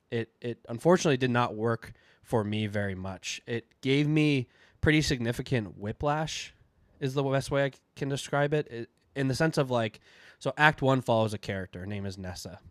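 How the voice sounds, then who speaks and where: A man's voice: medium pitch, dry recording. A man with a cold and a stuffy, nasal voice, chatting casually as host of a book review video. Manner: chatty, loose, with fillers and restarts. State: congested from a cold.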